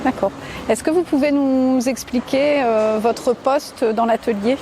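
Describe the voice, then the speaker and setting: A woman's voice: high voice, measured pace, noisy background. A woman interviewer asking polite, formal questions for a local report. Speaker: interviewer for a local media outlet.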